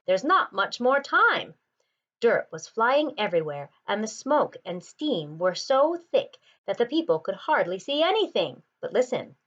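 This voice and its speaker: A woman reading a children's picture book aloud, with expressive and deliberate phrasing. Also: medium pitch, muffled recording. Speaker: storybook reader.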